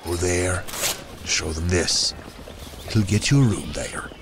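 Man playing a deep, gruffly kind fantasy official. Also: measured pace, noisy background. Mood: brisk, instructing. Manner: brusque, instructing. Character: gruffly kind working man helping a young newcomer. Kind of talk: fantasy audio drama acting.

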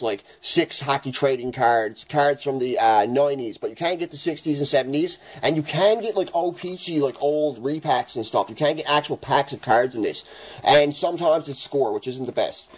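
A man with a raspy voice from the flu, chatting casually as he opens hockey card packs in his home kitchen. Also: mid-range voice, low-quality recording. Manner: chatty, rambling, excited at good pulls. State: raspy, getting over the flu. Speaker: hockey card channel host. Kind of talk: casual card-opening vlog.

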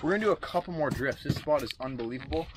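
A young man hosting a fishing vlog, chatting excitedly to viewers as he fights fish on a small boat at sea, recorded on an action camera. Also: mid-range voice, racing. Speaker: fishing channel host. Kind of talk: casual fishing vlog chat. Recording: wind and boat noise outdoors.

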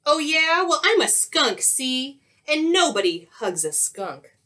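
A woman's voice: high voice, big pitch swings, slow, sharp tone, voice pushed up, heavily acted. A woman voicing a grumpy, scheming male skunk in a children's storybook, with a huffy, growling, exclamatory manner. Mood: grumpy, scornful. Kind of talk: children's storybook read-aloud.

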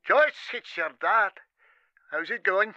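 A man playing a gruff middle-aged father in a radio drama, heard through a telephone filter. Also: high voice, animated, slow, bright, forceful tone, dull audio, heavily acted. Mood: concerned. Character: bitter, gruff hard-drinking father of a grown daughter.

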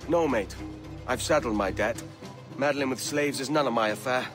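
An actor with a Welsh accent playing a roguish, blunt, self-interested pirate assassin in a video game, brusque and casual in speech. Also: male voice, medium pitch, moderate pace, music in the background, lightly acted. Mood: blunt, impatient. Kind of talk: dramatic video game acting.

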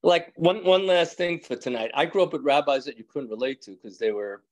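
A man's voice: on the high side, brisk, lo-fi sound. An older man with a New York accent, a rabbi chatting and joking with his class on a video call, rambling and laughing often. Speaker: rabbi teaching an evening class. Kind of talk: casual chat with class members. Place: indoors at home. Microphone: video call from a phone or webcam.

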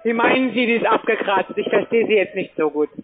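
A man making a phone sales call as a call center agent, speaking in a halting, hesitant way and searching for words. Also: high-pitched, lively intonation, over music. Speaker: call center caller selling crypto trading. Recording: muffled phone line, busy call-center chatter.

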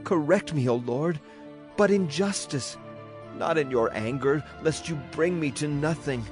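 A man narrating scripture in a measured, solemn dramatized reading. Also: a little high, soft background music. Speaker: Bible narrator.

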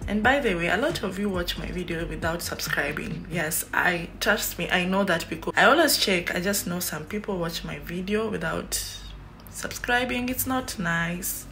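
Chatty Kenyan woman, low voice. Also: moderate pace, soft background music, rough recording. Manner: chatty, rambling, lots of fillers and animated hand gestures. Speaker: YouTuber. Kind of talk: casual vlog chat to camera. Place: small room indoors. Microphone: phone at arm's length.

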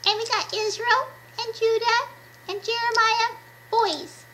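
A woman voicing chirpy, excitable child puppets who eagerly agree, in a children's puppet show. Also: extremely high pitch, measured pace, pitched above the performer's own voice, exaggerated voice. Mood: excited.

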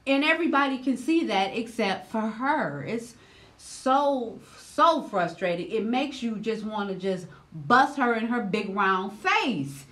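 Loud, expressive woman vlogger. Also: unhurried. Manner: loud, expressive, swings from low to high when riled; casual slangy talk with quoted voices. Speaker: reaction vlogger. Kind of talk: animated reaction commentary. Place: indoor room, seated.

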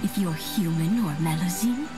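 A woman voicing a kindly, grateful old lady in a video game, speaking gently and tenderly. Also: lowish voice, moderate pace, over loud music, lightly acted. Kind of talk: video game voice acting, heartfelt dialogue.

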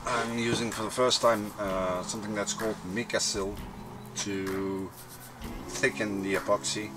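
A young man casually explaining his boat build as he works under a tent outdoors. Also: lowish voice. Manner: relaxed, unhurried explaining while working. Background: music.

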